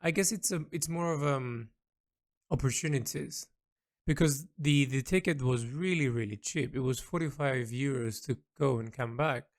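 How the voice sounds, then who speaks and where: Man musing haltingly in a personal monologue. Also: medium pitch. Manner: halting, searching for words, often repeating himself. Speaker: illustrator and storyteller. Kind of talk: reflective unscripted audio log monologue.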